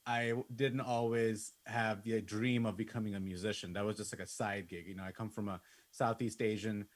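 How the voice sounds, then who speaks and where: A man's voice: fairly low pitch, fairly even intonation, moderate pace. A man in his thirties from Bangladesh, a musician talking informally and conversationally to an online class. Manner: informal, conversational, peppered with like and you know. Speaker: musician and music producer giving a masterclass. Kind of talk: casual autobiographical talk to an online class. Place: indoors on a video call.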